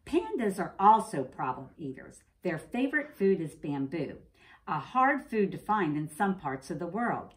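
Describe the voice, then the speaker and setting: An American woman with a low voice reads a picture book to preschoolers. Her intonation rises and falls in a lively way. She breaks from the text to explain things simply, as a teacher talking to small children. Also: a little slow. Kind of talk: reading a picture book aloud to young children, with explanatory asides. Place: indoors, classroom.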